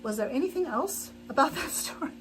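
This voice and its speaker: Brief: middle-aged woman host, chatty and rambling, slightly high voice, noisy home livestream mic. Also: animated, a little fast, fairly bright tone. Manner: chatty, rambling, frequent laughs and restarts. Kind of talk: casual chat while sewing.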